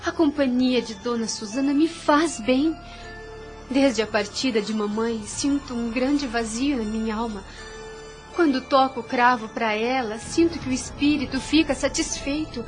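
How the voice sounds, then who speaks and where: Actress as a high-voiced, gentle, devout young woman. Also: measured pace, soft background music, lightly acted. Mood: wistful, pleading. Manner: earnest, formal, pleading. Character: devout, gentle, self-sacrificing young woman, quietly firm. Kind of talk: audio drama acting.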